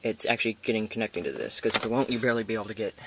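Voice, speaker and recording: An American man giving a casual, hands-on video tutorial in an informal, halting way, thinking aloud as he goes. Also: lowish voice. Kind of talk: casual hands-on how-to demonstration. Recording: noisy amateur camera recording with clicks.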